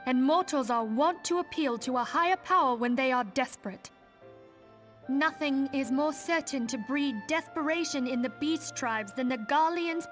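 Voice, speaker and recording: A woman's voice: high voice, fairly bright tone. A woman playing an earnest, idealistic leader of a secret order in a fantasy video game, formal and persuasive.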